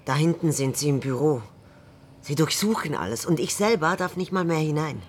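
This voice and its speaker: A woman playing a gruff, weary tavern landlady in a German radio drama, curt, dry and guarded. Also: sits low, normal speed, a little hiss or hum, close to an everyday voice. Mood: irritated.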